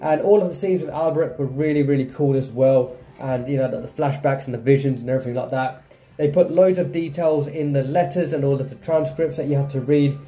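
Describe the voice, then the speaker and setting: Very mellow-voiced man doing gameplay commentary. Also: mid-range voice, fairly quick, poor audio. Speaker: gaming video host.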